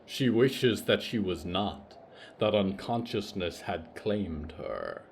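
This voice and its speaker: A man narrating scripted horror fiction in a measured, solemn, dramatic way. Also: fairly low pitch, expressive, vocal fry, a little hiss or hum. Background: soft plucked guitar music.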